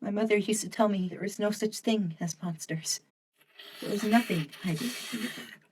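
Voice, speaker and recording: A woman giving solemn, theatrical narration for a comic book drama, on a distant recording with loud noise. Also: mid-range voice, normal speed, close to an everyday voice. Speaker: fan dub narrator.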